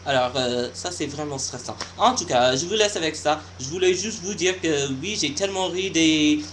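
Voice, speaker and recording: A young man speaks French, pausing often and searching for words in a shy, halting way. His voice sits a little high, with faint noise behind it. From United States. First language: not French. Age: young adult. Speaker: vlogger. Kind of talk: casual vlog monologue.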